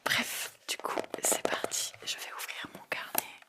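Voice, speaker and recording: A woman in her twenties whispering close to the mic in a chatty ASMR video, rambling and repeating trigger words softly. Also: measured pace. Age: 20s. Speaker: ASMR creator.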